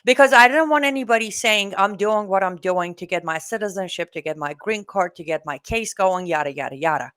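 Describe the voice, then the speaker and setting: A woman in her forties from Iran, a guest telling her life story in an outspoken, animated way. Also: mid-range voice, brisk, few pauses. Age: 40s. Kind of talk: casual interview talk.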